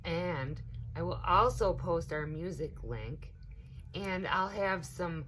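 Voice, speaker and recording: Low, slow-talking woman reading to children. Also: a bit bright, poor audio. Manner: talks directly to child listeners. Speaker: teaching assistant reading to children. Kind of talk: children's storybook read-aloud. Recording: noticeable background noise.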